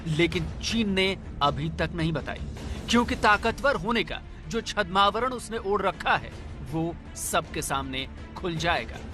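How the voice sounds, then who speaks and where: A man's voice: high-pitched, animated, unhurried, over music. A man delivering a scripted news report as a reporter, in an emphatic, dramatic way.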